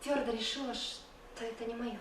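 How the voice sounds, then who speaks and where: An actress playing a young woman who firmly quits her job, speaking quietly and resolutely. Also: fairly high pitch, steady tone, moderate pace, heavy background noise, natural voice. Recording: distant voice in echoey room.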